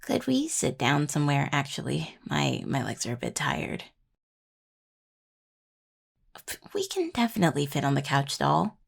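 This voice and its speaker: A woman playing an affectionate, teasing necromancer in an intimate audio roleplay, talking softly and playfully to a partner, with a husky, gravelly voice. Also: low voice, huge pitch swings, normal speed, heavily acted. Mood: tired, tender. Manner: soft, playful, casual delivery with sighs and murmured asides. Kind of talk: intimate audio roleplay acting, addressed to a listener.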